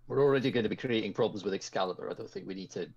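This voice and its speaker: A man's voice: on the low side, rapid-fire, vocal fry, a little mellow, husky. A man playing a tabletop roleplaying game, talking casually in character, on muffled audio. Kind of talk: casual in-character planning talk.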